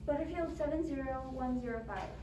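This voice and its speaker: High-pitched fretful, demanding invalid played by a woman. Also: a little fast, lightly acted. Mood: impatient, demanding. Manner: imperious, fretful, melodramatic pleading. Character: frightened, demanding, self-pitying invalid married woman, bedridden. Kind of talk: amateur radio play acting. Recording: roomy, distant microphone with faint noise.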